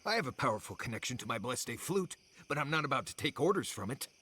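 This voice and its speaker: Grumpy, animated fantasy guide. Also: male voice, medium pitch, quick pace, husky, full character voice. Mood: exasperated. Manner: exasperated, sarcastic, blunt. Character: grumpy, sardonic, stubborn male fantasy guide. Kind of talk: dramatic video game acting.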